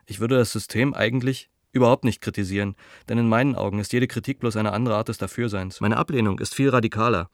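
A man's voice: on the low side, fast talker, few pauses, dry recording, clean studio sound, lightly acted. An actor in a radio play as an earnest, cautious dissident intellectual, reading his letter in a reflective, articulate, argumentative way. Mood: earnest, reasoning.

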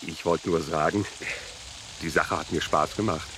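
A man's voice: a bass voice, fairly even intonation, moderate pace, background noise. A man acting an officious, cheerfully corrupt policeman in a German crime radio play, bossy and bureaucratic but jovial.

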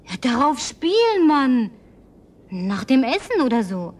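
A woman's voice: sits high, big pitch swings, a little slow, darkish tone, full character voice. An actress playing a lonely, house-proud young woman in a German radio drama, coaxing and effusive with sighs. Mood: eager.

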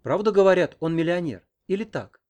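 Guy doing a bossy old village granny, nosy and sharp tongued, scolds everyone, audiobook character. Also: high-pitched, animated, brisk, voice pushed up. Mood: nosy, knowing. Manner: scolding, indignant.

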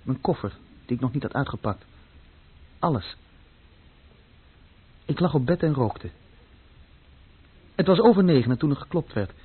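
A man's voice: medium pitch, expressive, moderate pace, long pauses, breathy edge. An actor playing a troubled, plain-spoken young German man who recounts his past in short matter-of-fact sentences in a radio play. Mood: subdued, uneasy. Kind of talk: radio play first-person narration. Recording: dull lo-fi old radio play recording.

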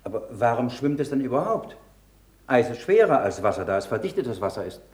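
An actor playing a mild, thoughtful, questioning male scholar in a German radio play, measured and bookish. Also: medium pitch, big pitch swings, a little mellow, lightly acted. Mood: studious.

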